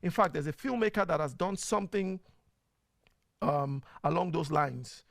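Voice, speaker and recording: This is a man with a Nigerian accent who hosts a casual online show. He sits a little high in pitch with a gravelly edge, and his voice sounds close and dry, with no room around it. He talks in a chatty way, full of fillers. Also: moderate pace, fairly bright tone, no room sound. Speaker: host. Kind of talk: casual live-stream talk.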